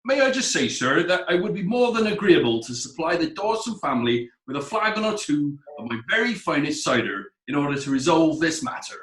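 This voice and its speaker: An actor playing a wealthy clothier on trial, hesitant and ingratiating. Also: male voice, on the high side, big pitch swings, fairly quick, hardly any pauses, a bit bright, reverberant, rough recording. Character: wealthy male clothier defendant, fawning. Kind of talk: amateur audio drama acting.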